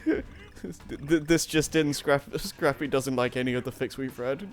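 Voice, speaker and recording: A man's voice: medium pitch, normal speed. A man chatting casually as a show co-host, often stammering and repeating words as he goes. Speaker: co-host of a fan fiction reading show. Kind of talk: casual group chat. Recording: music playing behind the voice.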